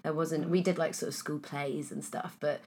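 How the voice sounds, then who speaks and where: A woman in her thirties from Wales, an actress chatting casually in an interview, her voice a bit husky and hoarse today, with many fillers and restarts. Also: deep voice, fairly even intonation, moderate pace, a little mellow, a little hiss or hum. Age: 30s.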